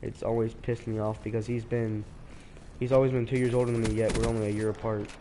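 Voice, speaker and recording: A man's voice: mid-range voice, little pitch movement, quick pace, noisy background. Congested teenage boy rambling over gameplay. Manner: rambling, hesitant, with many restarts. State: sick, congested. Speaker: streamer. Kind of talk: casual gameplay commentary.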